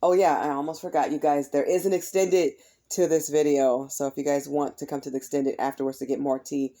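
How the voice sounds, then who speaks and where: A woman with a mellow, darker voice that has a slight rasp, worn from a lot of talking. She chats her way through a tarot reading. Her delivery is casual and direct, and she often comes back to the phrase "is what I'm hearing.". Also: sits low, fairly even intonation, a little fast. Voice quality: slightly raspy. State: voice tired from heavy use. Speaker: tarot reader.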